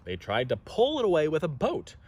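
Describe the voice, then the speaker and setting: A man reading a children's story aloud expressively, with dramatic pauses and asides to listeners. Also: a little high, wildly swooping pitch, a little mellow, husky. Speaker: storybook reader.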